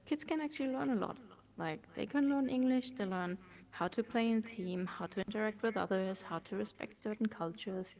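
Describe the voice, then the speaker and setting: A woman speaking English with a German accent, an esports operations manager chatting in an interview, often trailing off into soft near-whispers. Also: mid-range voice, gravelly. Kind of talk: casual interview chat.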